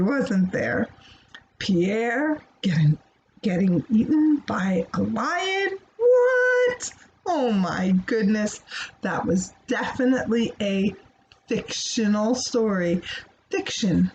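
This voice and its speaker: A woman hosting a children's storytime, talking to young listeners in an animated, teacherly way with rhetorical questions. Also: a little high. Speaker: children's read-aloud storytime host. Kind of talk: child-directed talk about a story. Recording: dull, faintly noisy recording.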